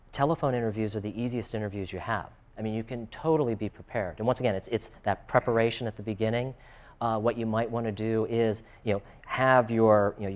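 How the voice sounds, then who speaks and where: An American man speaking as a job coach in an informal, conversational and explanatory way, often dropping in "you know". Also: fairly low pitch. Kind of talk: informal instructional talk.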